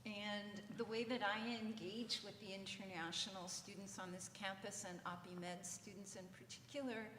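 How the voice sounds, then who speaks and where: A woman's voice: medium pitch, steady tone, moderate pace, few pauses, fairly bright tone. Give me a woman reflecting personally on a panel, her voice echoing in a large hall. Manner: thoughtful, personal. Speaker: English language program coordinator. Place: conference hall. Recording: echoey hall, faint noise.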